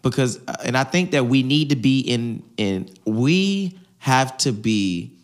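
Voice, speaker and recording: A young man from Virginia co-hosting a podcast, talking in a relaxed, loose way full of "you know" fillers. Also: mid-range voice, takes it slowly. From Hampton, Virginia. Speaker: podcast co-host. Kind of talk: casual conversation.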